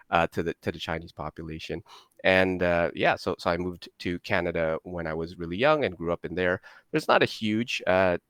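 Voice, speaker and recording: Deep-voiced upbeat man, dry sound. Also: fairly bright tone, no echo. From Indonesia. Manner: upbeat, stammering restarts, self-deprecating. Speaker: guest entrepreneur. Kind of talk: interview conversation.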